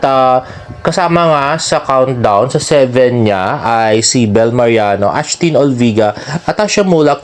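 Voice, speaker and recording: A Filipino man with an average-pitched voice and highly animated intonation. He talks casually like a showbiz fan vlogger, chatty and jokey. His commentary slips between Tagalog and English with a teasing tone. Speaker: celebrity fan vlogger and host.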